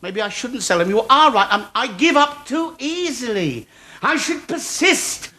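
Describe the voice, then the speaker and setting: Bright, indignant English sitcom husband. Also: male voice, very high-pitched, very animated, a little slow, exaggerated voice. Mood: wavering. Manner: exasperated, sarcastic, emphatic. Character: indignant, petulant middle-aged English sitcom husband, sarcastic and wavering. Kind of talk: TV sitcom acting. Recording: faintly noisy old TV sitcom copy.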